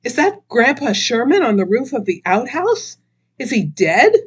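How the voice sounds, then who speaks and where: A woman playing a large, excitable, dramatic woman in a radio play, blunt and theatrical. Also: fairly high pitch, moderate pace, slightly rough, voice pushed up. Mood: shocked.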